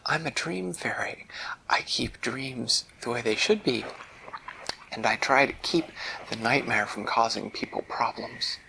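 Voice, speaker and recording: A man voicing a kind female dream fairy in a bedtime story, gentle and soothing. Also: medium pitch, measured pace, gravelly, a little hiss or hum, low-quality recording.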